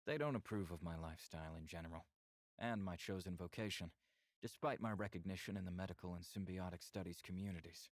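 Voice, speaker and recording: Formal, reserved alien scientist, low voice. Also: male voice, steady tone, no room sound. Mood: reserved, resigned. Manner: formal, measured, articulate. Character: earnest, reserved male alien scientist from symbiotic studies. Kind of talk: visual novel game dialogue.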